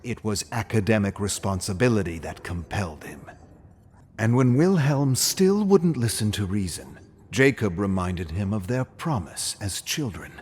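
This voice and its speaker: A man narrating a scripted fairy tale as a storyteller, with a dramatic, measured delivery. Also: lowish voice, expressive, darkish tone, a little hiss or hum.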